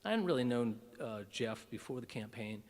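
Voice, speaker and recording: A man's voice: mid-range voice, big pitch swings, moderate pace, a little mellow, long reverb tail. A man giving a humorous, self-deprecating keynote speech at a breakfast event, telling stories animatedly with frequent restarts. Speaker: keynote speaker. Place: conference breakfast room.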